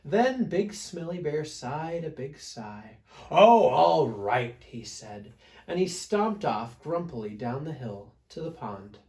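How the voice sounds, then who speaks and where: Homme conteur, lent et très expressif. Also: male voice, a little high, sing-song, a little mellow. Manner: dramatic emphasis, pauses to prompt listeners. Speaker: read-aloud storyteller. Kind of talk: expressive picture-book reading for children.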